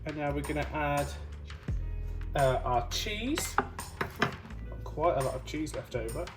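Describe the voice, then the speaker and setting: A woman's voice: low voice, lively intonation, slow. A woman hosting a cooking show, casually talking through each step as she works, recorded at a distance. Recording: distant mic, loud music behind. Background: music, clattering dishes.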